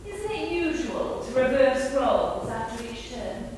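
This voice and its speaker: An actress playing a guarded, sharp-witted woman writer, with cool, dry retorts on stage. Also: sits high, lively intonation, measured pace, slight background noise. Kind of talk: stage play performance. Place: theatre stage. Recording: echoey theatre stage from far off.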